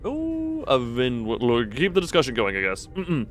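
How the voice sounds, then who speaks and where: A man's voice: medium pitch, wildly swooping pitch, measured pace, fairly bright tone. A man live streaming a game, chatting casually, reading dialogue aloud and breaking off into asides to chat. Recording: game music behind the voice.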